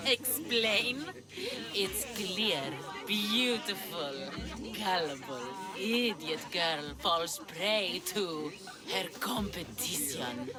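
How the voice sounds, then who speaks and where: A woman's voice: mid-range voice, drawn-out words, music in the background. Smug, scheming woman alchemist. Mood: smug, possessive. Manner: condescending, theatrical, taunting. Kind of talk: video game cutscene acting.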